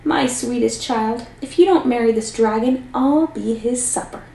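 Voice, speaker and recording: A woman's voice: a little high, moderate pace, gravelly, dark tone, a little hiss or hum. A woman voicing a poor, frightened old male farmer in a read-aloud storybook, pleading with his voice a little raised. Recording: roomy, mic at a distance.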